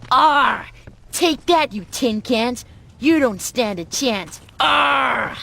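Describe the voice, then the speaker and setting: Casting: young child survivor, earnest, eager, a bit hesitant, game-drama dialogue. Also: female voice, sits high, lively intonation, drawn-out words, gravelly, sharp tone, heavily acted. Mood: playful battle cry.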